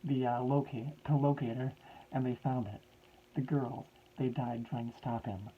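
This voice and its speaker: A man's voice: medium pitch, fairly even intonation, normal speed, husky, narrow, tinny audio, lightly acted. A man voicing a grieving middle-aged male scientist who pleads in a raised, strained voice.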